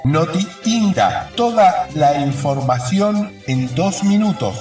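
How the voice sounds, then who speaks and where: A man's voice: fairly high pitch, sing-song, slow pace. A man announcing a radio news jingle, recorded with an echoey, distant sound. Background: clear music.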